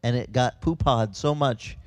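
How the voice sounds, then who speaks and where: Animated, chatty pastor preaching outdoors. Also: male voice, medium pitch, no echo. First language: Italian. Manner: conversational, animated storytelling with quick asides and restarts. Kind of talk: sermon.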